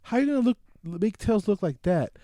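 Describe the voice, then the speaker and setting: A male podcast host with a mellow voice and very animated intonation. He chats casually and excitably, full of slang and swearing. The sound is dry and close. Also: sits high, fairly quick, dry recording. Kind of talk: casual movie review chat.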